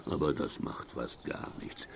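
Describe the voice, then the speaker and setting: A man's voice: unhurried, a little hiss or hum, close to an everyday voice. A man playing a dim, good-natured, naive monster sidekick with a simple, plodding manner in a children's audio drama. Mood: puzzled.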